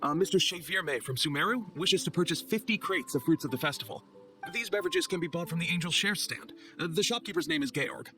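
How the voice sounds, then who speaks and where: Fast-talking, earnest, nervous knight clerk. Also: male voice, on the high side, sharp tone, soft background music. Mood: polite, explanatory. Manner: formal, earnest, slightly nervous. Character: flustered, earnest, overly polite male knight logistics clerk. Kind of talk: video game voice acting.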